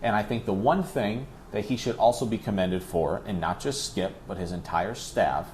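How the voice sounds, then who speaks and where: A man's voice: on the low side, moderate pace, darkish tone, slight background noise. A man who works as a play-by-play announcer, talking conversationally and at length as an interview guest, pressing his points with emphatic repetition.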